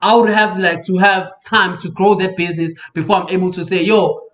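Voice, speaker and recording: A man in his thirties with a South African accent, hosting a podcast interview in an animated, emphatic way, repeating himself to drive points home. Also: sits high, fairly quick. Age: 30s. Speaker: podcast host. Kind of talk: casual interview chat. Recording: dull lo-fi podcast audio.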